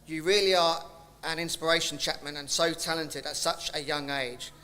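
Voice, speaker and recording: A man's voice: a little high, steady tone, moderate pace, sharp tone. A man presenting at a live awards ceremony, heaping effusive praise on the winners. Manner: effusive praise, occasional restarts. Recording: reverberant hall, faint ambience.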